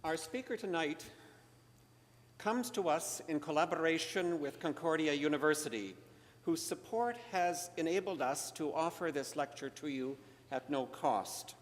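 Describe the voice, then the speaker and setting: A man presents a guest speaker in a formal, scripted manner. His voice sits a little high for a man. It carries long reverb from a large hall. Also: animated, unhurried. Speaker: event host introducing a speaker. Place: large hall, public lecture.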